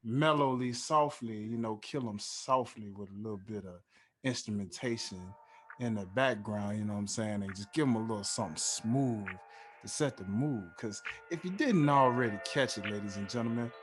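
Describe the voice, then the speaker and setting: A man hosting a casual podcast, talking in a smooth, laid-back radio-style way and often adding "you know what I'm saying.". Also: fairly low pitch, moderate pace. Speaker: podcast host. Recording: music playing underneath.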